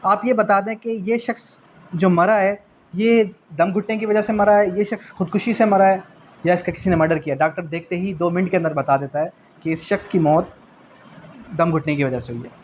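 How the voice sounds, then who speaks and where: Male Pakistani law lecturer, fairly high voice, didactic question-and-answer delivery, English key terms, some background noise. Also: normal speed. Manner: didactic, asks and answers own questions, repeats key phrases. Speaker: law teacher. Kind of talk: exam revision lecture.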